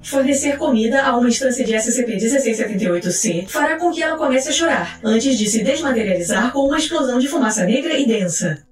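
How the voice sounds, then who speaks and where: A woman narrator reading scripted case files in a formal, clinical, measured manner. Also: fairly high pitch, lively intonation. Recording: roomy echo with music underneath. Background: music.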